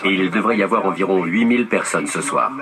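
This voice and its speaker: A man's voice: sits low, fairly quick. A man dubbing a tour staff member in a documentary, matter-of-fact and upbeat. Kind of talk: documentary dub voice-over.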